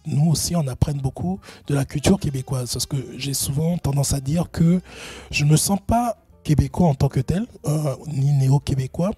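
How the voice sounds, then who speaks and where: A man's voice: a little high, normal speed, dark tone, breathy edge, soft background music. A middle-aged man answering interview questions at length, hesitant, with many fillers and restarts. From Benin, raised in Gabon. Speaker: guest, entrepreneurship consultant.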